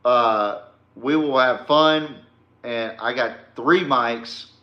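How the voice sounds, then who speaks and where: A man's voice: mid-range voice, very slow, reverberant, lo-fi sound. A man hosting a casual solo podcast, talking bluntly and with casual swearing into a podcast microphone. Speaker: podcast host.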